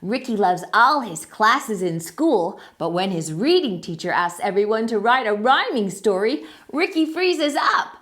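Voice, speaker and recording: A woman hosting storytime, narrating a children's picture book in an animated read-aloud style. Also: mid-range voice, measured pace, few pauses. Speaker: storytime host and reader.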